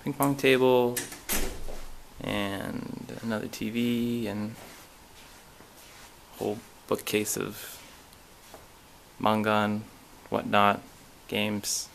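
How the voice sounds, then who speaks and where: A young man giving a casual, halting, understated walk-through tour on a handheld camera. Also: little pitch movement, gravelly, fairly bright tone, a little hiss or hum, rough recording. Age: young adult. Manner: low-key, halting, understated. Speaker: exchange student giving a tour. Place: indoors in a student dormitory, walking through rooms.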